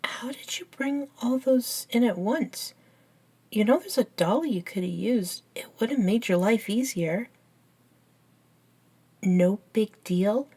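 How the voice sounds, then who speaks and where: A woman voicing an eager, curious, nerdy young best friend in a scripted ASMR roleplay, chatting and explaining in an unhurried, softly enthusiastic way. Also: a little high, lively intonation, breathy edge, heavily acted. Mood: amazed. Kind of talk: ASMR roleplay, scripted one-sided conversation.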